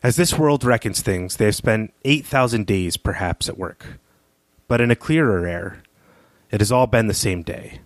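A man with a fairly low voice speaks as a literary podcast co-host. His delivery is measured and analytical, and the recording is dry with very little room sound. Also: no room sound. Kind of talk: literary discussion, partly reading aloud.

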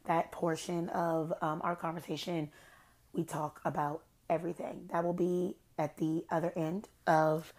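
Young woman, podcast host, hoarse, rambling gossip chat, lowish gravelly voice. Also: flat delivery, measured pace, darkish tone. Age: young adult. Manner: chatty, rambling, self-described low-key shady. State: hoarse, has lost her voice. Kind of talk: casual solo podcast chat.

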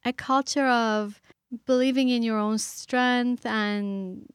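A middle-aged woman from Iran, a guest speaking earnestly and thoughtfully with pauses and fillers. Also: fairly high pitch, steady tone, smooth, no echo, clean studio sound. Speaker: author and guest. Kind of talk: reflective interview talk.

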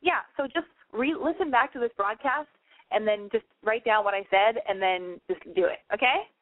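A woman's voice: on the high side, normal speed, no echo, narrow, tinny audio. A woman hosting a call-in advice show, giving chatty, emphatic big-sister advice. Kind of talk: casual advice call-in chat.

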